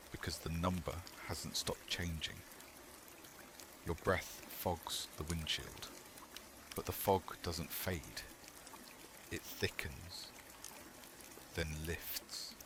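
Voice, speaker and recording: A man narrating a scripted horror story in the second person, in short measured phrases with pauses. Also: deep voice, noisy background.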